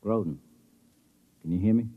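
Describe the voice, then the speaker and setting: An actor playing a weary, steady ship's doctor in an old radio drama, blunt but soothing with the wounded. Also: male voice, on the low side, normal speed, no room sound, close to an everyday voice. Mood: grave, gentle. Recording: tinny, band-limited vintage radio recording.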